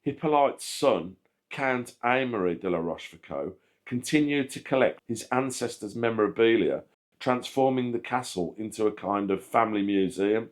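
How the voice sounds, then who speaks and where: A man reading a scripted history narration aloud in a measured, factual manner. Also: on the low side. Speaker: channel host narrating a history video.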